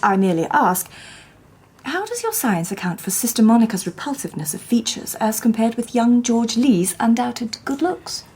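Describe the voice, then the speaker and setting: Casting: a composed Victorian lady sleuth, husky, mellow, dry wit. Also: a little high, very animated, normal speed, exaggerated voice. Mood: calm. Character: shrewd, composed Victorian woman detective. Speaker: lady detective narrating and speaking. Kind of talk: radio drama narration and dialogue.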